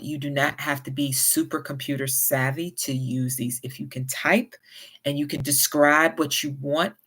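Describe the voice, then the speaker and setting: A woman with a light Southern US accent, talking at an unhurried pace and giving friendly, encouraging advice to her viewers. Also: a bass voice. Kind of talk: casual advice vlog.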